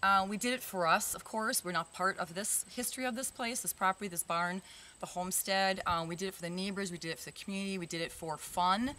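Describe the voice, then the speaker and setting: A woman's voice: medium pitch, steady tone, fast talker, pushed and bright. A woman in her fifties, a barn owner, talking earnestly in a casual interview outdoors, with many fillers.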